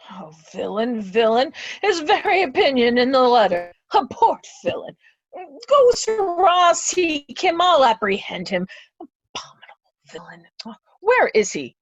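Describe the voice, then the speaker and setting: An aging, easily outraged earl acted by a woman in a Shakespearean reading, with a high voice that swings widely in pitch. The delivery is theatrical and exclamatory, and the recording is dull and dry. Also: big pitch swings, measured pace, a little hiss or hum, exaggerated voice.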